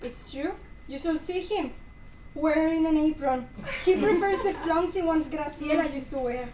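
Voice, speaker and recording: A woman's voice: high-pitched, moderate pace, natural voice. A woman playing a proud, teasing Cuban daughter in a staged play reading. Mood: teasing, bashful. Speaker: student actor in a dramatic reading. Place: echoey room at a live performance. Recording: roomy, distant mic, faint noise.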